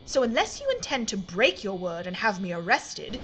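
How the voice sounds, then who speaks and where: A woman's voice: medium pitch, huge pitch swings, normal speed, fairly bright tone, slight background noise. An actress playing a bold, wry aristocratic lady journalist in a wartime audio drama, speaking formally with teasing assurance. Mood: wry, bargaining. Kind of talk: period audio drama dialogue.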